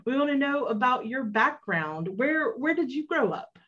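A woman's voice: high voice, big pitch swings, moderate pace, a bit bright. Woman, radio host, friendly, chatty, dull lo-fi sound. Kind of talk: radio interview.

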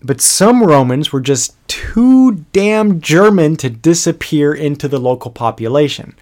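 A man's voice: fairly high pitch, animated, a little slow, darkish tone. A man narrating a history lesson in a casual, conversational way, with frequent fillers and restarts. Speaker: history educator.

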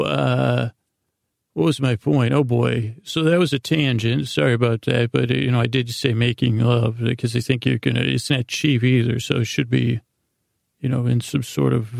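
Male sleep-podcast host, very heavy vocal fry, mid-range pitch, halting and meandering, recorded close and dry. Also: a little mellow, dry recording. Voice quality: gravelly, creaky. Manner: meandering, halting, tangent-prone. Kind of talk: rambling bedtime monologue.

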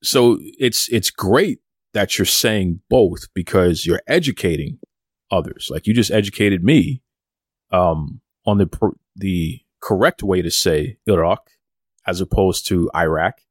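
A man's voice: low voice, a little slow, breathy edge, no room sound, studio quality. A man hosting an interview, asking questions with encouragement and repeating words as he goes. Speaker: podcast host.

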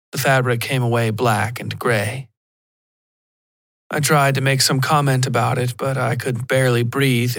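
A man's voice: mid-range voice, fairly bright tone, no room sound. A man reading a scripted first-person horror story as the narrator of a fiction podcast.

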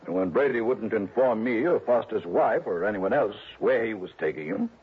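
A man's voice: fairly low pitch, expressive, moderate pace, husky. A man playing an agitated, suspicious businessman client in a 1960s radio drama, emphatic and insistent. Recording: tinny, narrow old radio sound.

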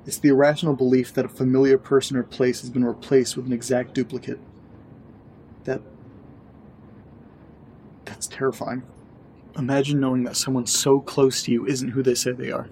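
A man's voice: mid-range voice, little pitch movement, normal speed, long pauses, darkish tone, lightly acted. Actor as an anxious, sensible man, noisy background. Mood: troubled, somber. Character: skeptical, anxious, sensible male housemate. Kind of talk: naturalistic audio drama dialogue. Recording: clear background noise with ambience.

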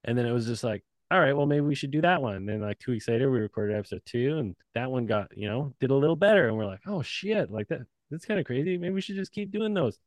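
A man in the guest chair of a casual podcast, talking loosely with plenty of swearing and easy laughter. His voice sits at an ordinary middle pitch. The recording is close and dry, with no room sound. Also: lively intonation, quick pace, few pauses, dry recording. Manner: laid-back, profane, laughs often. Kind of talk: casual chat.

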